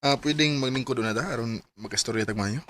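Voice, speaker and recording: An actor playing a devoted, gentle young suitor in a Bisaya radio drama, earnest and measured. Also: male voice, mid-range voice, close to an everyday voice. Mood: earnest, tender. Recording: faint music behind the dialogue.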